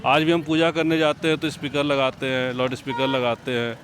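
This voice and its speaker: A man's voice: mid-range voice, flat delivery, brisk, a bit bright. Polite man answering a reporter. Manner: polite, agreeable replies. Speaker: passerby giving opinion. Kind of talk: street interview. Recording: faint traffic noise.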